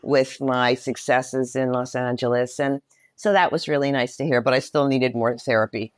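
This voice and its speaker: A woman in her sixties speaking candidly and reflectively as an interview guest, with measured emphasis. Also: a bass voice, fairly even intonation, no echo, studio quality.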